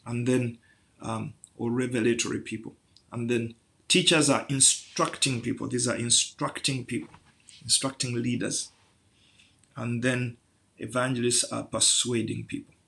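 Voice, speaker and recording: A man's voice: mid-range voice, fairly even intonation, a little slow, vocal fry, fairly bright tone. A man preaching a sermon, building through rhetorical questions to emphatic peaks.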